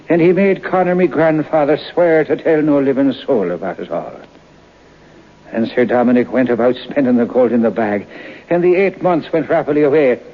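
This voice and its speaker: An actor playing a storyteller who tells an eerie family tale in a folksy, suspenseful way for old-time radio. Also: male voice, mid-range voice, close to an everyday voice. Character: Irish storyteller recalling his grandfather's eerie tale. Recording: dull old radio recording, faint noise.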